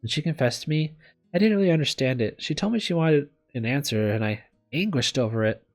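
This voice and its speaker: A man's voice: on the high side, lively intonation, brisk, soft background music, voice pushed up. A man voicing a small, weary, caring woman teacher from a visual novel, subdued and reflective.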